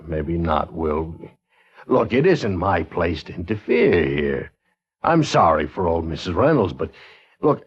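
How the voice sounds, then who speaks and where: A cautious, defensive townsman hedges with every reply. His voice is very deep but moves around a lot. The sound is dull and lo-fi, like an old broadcast. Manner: hesitant, defensive. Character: cautious small-town man, defensive and hedging. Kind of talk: 1950s radio acting.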